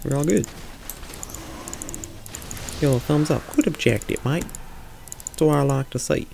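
Gravelly young man talking over gameplay. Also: fairly high pitch, measured pace, mellow, slight background noise. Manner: chatty, joking, thinking aloud. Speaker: streamer. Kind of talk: live gameplay commentary. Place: small room indoors.

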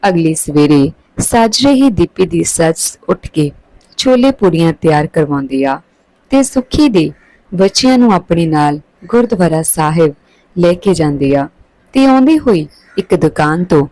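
A woman's voice: lowish voice, expressive, measured pace, warm tone, dry recording, low-quality recording. A woman reading a scripted story aloud as a narrator.

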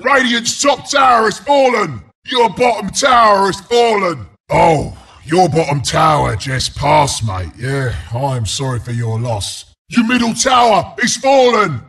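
An actor voicing a mean, cocky porcupine beast as a video game announcer, with a British accent, a gravelly rasp and a rowdy, taunting, blunt delivery. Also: male voice, very high voice, measured pace, few pauses, sharp tone, raised voice, exaggerated voice. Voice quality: gravelly, raspy. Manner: taunting, rowdy, blunt, mock-sympathetic asides.

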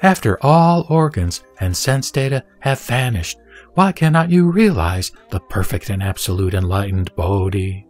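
Guy reading scripture for an audio drama, lowish voice, formal and solemn, music behind. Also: big pitch swings, a little slow, darkish tone. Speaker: narrator and reciter.

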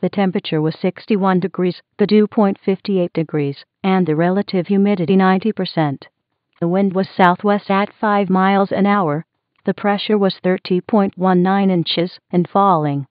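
Muffled, low-pitched synthetic woman announcer. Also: dry recording. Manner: mechanical, impersonal. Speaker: automated weather radio announcer. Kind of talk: synthesized weather bulletin reading. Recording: muffled lo-fi weather radio recording.

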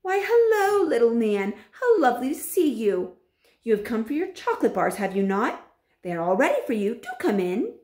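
A woman's voice: a little high, very animated, moderate pace, darkish tone. A woman voicing a sinister, commanding old female witch leader with a grand, clipped manner. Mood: falsely sweet. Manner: grand, clipped, gloating villain voice. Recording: echoey room.

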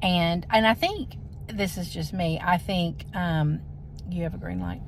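A woman's voice: on the low side, measured pace, gravelly. A woman in her forties chatting casually to camera as a vlogger, inside a moving car. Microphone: phone at arm's length. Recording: loud car road noise.

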